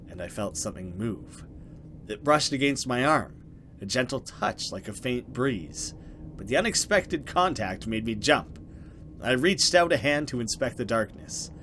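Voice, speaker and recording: A man's voice: medium pitch, a bit bright. Man reading a horror game aloud, faint noise. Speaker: let's play host. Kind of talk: dramatic reading of a horror game with casual commentary.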